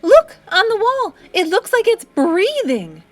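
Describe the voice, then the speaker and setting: A woman voicing an excited, imaginative prehistoric child who exclaims and pleads eagerly in a read-aloud storybook. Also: extremely high pitch, slow, pitched above the performer's own voice, full character voice. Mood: breathless, pleading.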